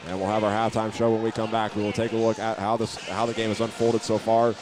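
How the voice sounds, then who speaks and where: Fast, even-toned American sports announcer man. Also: fairly low pitch. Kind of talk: live basketball radio commentary. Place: indoor auditorium at a basketball game.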